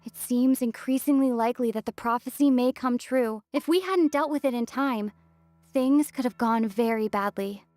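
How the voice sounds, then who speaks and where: A woman voicing a composed young adventurer in a video game, reasoning aloud in a measured, analytical way. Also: a little high, a little mellow, dry recording, natural voice. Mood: thoughtful, serious. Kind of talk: video game character dialogue. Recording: music playing behind the voice.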